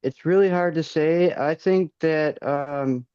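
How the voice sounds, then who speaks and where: A man in his forties, a podcast guest, speaking casually with soft hesitation and frequent ums. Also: fairly high pitch, slow, clean tone, no room sound, highs cut off. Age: 40s. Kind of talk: casual interview chat.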